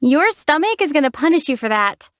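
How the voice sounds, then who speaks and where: Game lead: young female traveler, calm and deadpan teasing, high lively voice, dry booth. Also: fairly quick, no echo. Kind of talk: studio game voice acting. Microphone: studio mic.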